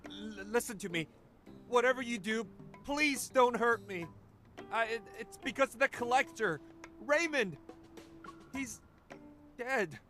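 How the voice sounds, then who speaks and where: A jumpy middle-aged gossip reporter in a game, nervous n dramatic, bit of music under it. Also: male voice, very high voice, measured pace, pauses often, pushed and bright, exaggerated voice. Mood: panicked, pleading. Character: anxious, gossip-hungry middle-aged male tabloid reporter. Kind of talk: English game voice acting.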